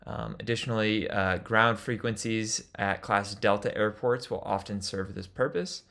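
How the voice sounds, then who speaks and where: A man's voice: lowish voice, fairly even intonation, normal speed, vocal fry, a bit bright. A man giving an instructional lecture as a flight instructor, explaining things in an informal way.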